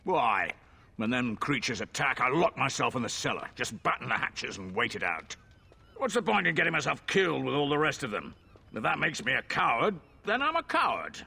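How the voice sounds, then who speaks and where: Casting: rough, husky innkeeper for a fantasy game, brusque and cynical, very animated delivery. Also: male voice, medium pitch, moderate pace, full character voice. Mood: defensive, grumpy. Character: gruff, cynical, self-serving tavern keeper. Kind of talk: fantasy video game voice acting.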